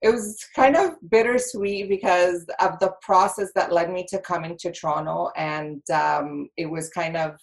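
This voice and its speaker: A woman's voice: on the low side, normal speed, creaky, hardly any pauses. A woman in her thirties from Egypt, a podcast guest talking chattily with lots of laughs and fillers. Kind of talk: casual interview chat.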